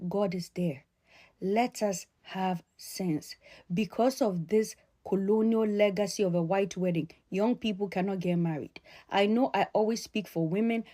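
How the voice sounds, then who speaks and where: Emphatic woman with a mellow voice. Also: medium pitch. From Ghana. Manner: emphatic, admonishing, deliberate repetition. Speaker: sociologist addressing followers. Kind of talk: opinion vlog, direct address to audience.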